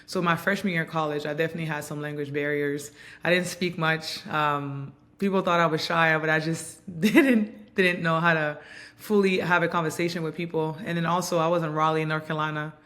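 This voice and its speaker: A woman in her thirties from Montreal, whose first language is Haitian Creole, giving reflective, earnest interview answers with frequent pauses and ums. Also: sits low, fairly even intonation, a bit bright. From Montreal, Canada. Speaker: guest, basketball player and coach.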